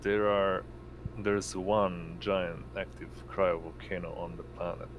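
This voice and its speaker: A man narrating as game master in a tabletop game, halting and pausing mid-sentence. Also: low voice, muffled recording. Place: indoors, online voice call. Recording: noisy home mic, steady hum.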